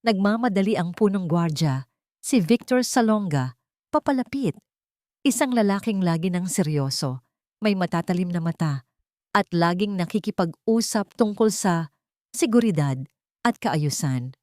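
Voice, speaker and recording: Low-voiced woman narrating a drama. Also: lively intonation, unhurried, pauses often, a little mellow, no echo, studio quality. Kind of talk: dramatic scripted story narration, audio drama.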